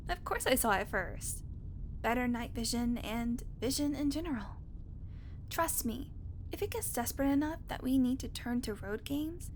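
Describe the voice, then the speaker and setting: A woman's voice: a little high, slight background noise, natural voice. A woman playing a flirty, sarcastic female vampire passenger in an audio roleplay, with a teasing, wry manner. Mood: casual, boastful. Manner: teasing, wry, casual swearing.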